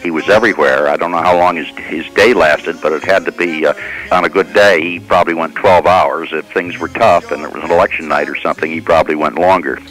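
A man's voice: rumbling low, steady tone, fairly quick, fairly bright tone, low-quality recording. A man giving a spoken personal reminiscence in a plain, conversational way. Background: faint music.

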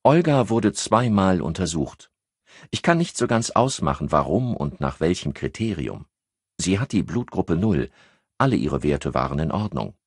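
A man's voice: sits low, sing-song, moderate pace, slightly rough, no room sound, studio quality, exaggerated voice. A man voicing a composed, educated countess who explains things precisely, like a medical expert, in an audiobook. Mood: matter-of-fact.

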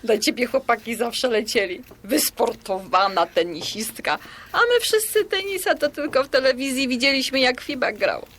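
An actress in a radio drama playing a modest, self-doubting Polish pensioner around sixty, speaking in a hesitant, demurring, conversational way. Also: female voice, on the high side, lively intonation, moderate pace, fairly bright tone, a little hiss or hum. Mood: self-deprecating.

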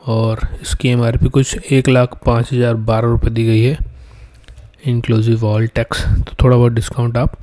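A man's voice: medium pitch, level tone, measured pace, mellow. A man giving casual, step-by-step unboxing commentary in a plain explaining manner. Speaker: product unboxing presenter.

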